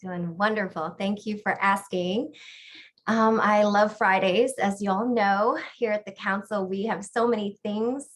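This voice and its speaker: A middle-aged woman co-hosting an online presentation, explaining ideas in a measured, phrase-by-phrase way. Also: medium pitch, steady tone, slight background noise. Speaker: co-host and business coach.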